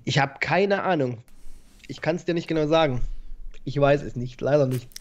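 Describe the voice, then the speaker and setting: A man's voice: fairly high pitch, normal speed. Chatty self-mocking man in his thirties. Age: 30s. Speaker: host. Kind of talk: casual live stream hosting. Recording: dull lo-fi call audio.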